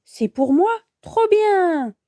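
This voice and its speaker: A woman voicing a boastful, excitable little boy cat superhero in a children's storybook. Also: high-pitched, measured pace, no echo, clean studio sound. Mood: delighted.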